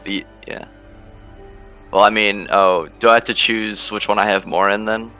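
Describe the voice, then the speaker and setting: A man's voice: lowish voice, steady tone, normal speed, bright, forceful tone, faint music behind. A man talking casually as a player in a tabletop role-playing game.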